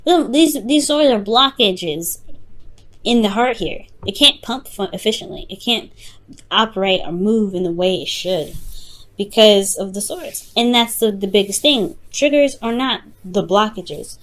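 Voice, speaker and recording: A woman reading tarot unscripted, talking straight to the listener in a halting, reassuring way, often repeating and rephrasing herself. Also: medium pitch, a bit bright. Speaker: tarot reader, host.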